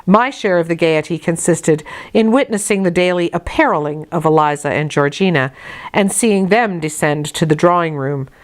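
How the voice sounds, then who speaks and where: A woman narrating a literary story in a measured, careful reading manner. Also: fairly low pitch. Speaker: audiobook narrator.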